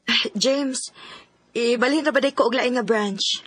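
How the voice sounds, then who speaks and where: Coy young girlfriend, high husky voice. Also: measured pace, fairly bright tone. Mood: tender, pleading. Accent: Filipino, Cebuano. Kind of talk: Bisaya radio drama acting.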